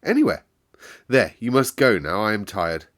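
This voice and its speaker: A man reading aloud and voicing a gloomy, tired gentleman who speaks slowly and gives clipped orders. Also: fairly low pitch, very animated. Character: gloomy, weary middle-aged gentleman of a manor.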